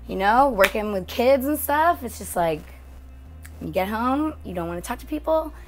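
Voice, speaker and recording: A young woman with a raspy voice chatting with her husband, teasing and playful as she tells stories. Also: a little high, expressive, moderate pace, faint music behind, poor audio. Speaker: co-host of a couple's channel. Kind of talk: casual Q&A chat.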